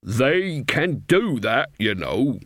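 A man reading a story, voicing a boastful, slow-witted burly woodcutter who keeps adding "right?". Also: mid-range voice, very animated, a bit bright, no room sound, clean studio sound. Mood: gossipy. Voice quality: slow, blunt, tag-questions with "right?". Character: boastful burly male woodcutter, dim and gossipy.

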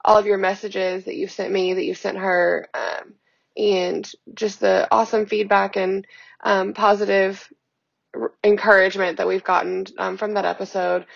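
A young woman hosting a casual podcast, her voice a little hoarse, talking in an upbeat, chatty way with many fillers, recorded from a hotel room. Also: medium pitch, level tone, moderate pace, muffled recording. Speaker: podcast host. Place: hotel room, sitting on a bed.

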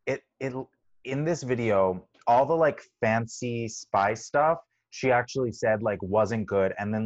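A man's voice: fairly low pitch, dry recording, muffled recording. A man co-hosting a podcast, chatting casually and joking playfully. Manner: joking, playful, quick asides. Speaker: podcast co-host. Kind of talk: casual chat.

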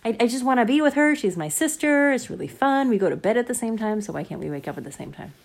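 A woman's voice: fairly high pitch, sing-song, fast talker, creaky, voice pushed up, exaggerated voice. A woman voicing an eager, cheerful seven-year-old boy in a bedtime story, talking in a bubbly, insistent, sing-song way. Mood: pleading.

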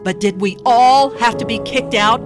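A woman's voice: on the high side, normal speed. A woman on a morning radio crew, bantering casually and reading reviews with mock drama. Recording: loud music bed underneath. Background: loud music.

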